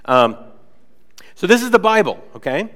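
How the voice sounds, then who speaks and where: Chatty young pastor, slightly nasal, reverberant hall. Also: male voice, high-pitched, big pitch swings, moderate pace, fairly bright tone. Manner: chatty, conversational, many "like" fillers and asides. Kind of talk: casual sermon. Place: church sanctuary.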